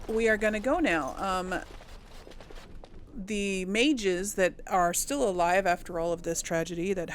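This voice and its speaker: Slow-paced woman hosting a game playthrough. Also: mid-range voice, slight background noise. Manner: chatty, informal. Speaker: let's play host. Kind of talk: casual gameplay commentary with role-played replies.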